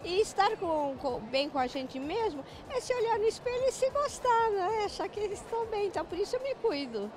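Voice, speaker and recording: A woman's voice: extremely high pitch, very animated, fairly quick, hardly any pauses, music in the background. A Brazilian woman in her seventies, lying on a treatment bed at a trade fair, answering a reporter's handheld mic in an earnest, reflective way, restarting phrases as she goes. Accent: Brazilian Portuguese. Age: 70s. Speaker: spa client interviewed. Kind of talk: street interview. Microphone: handheld mic held near her face.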